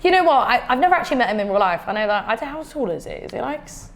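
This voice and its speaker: A woman's voice: fairly high pitch, very animated, very fast, a little hiss or hum. A woman as an interview guest, chatting casually with frequent fillers and swearing. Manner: chatty, swears freely, fills with "like". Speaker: interview guest, influencer boxer.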